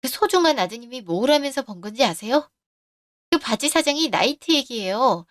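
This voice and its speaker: Brief: woman storyteller, fairly high voice, calm and firm, dry close recording. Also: normal speed, dry recording. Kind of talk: scripted radio-story narration.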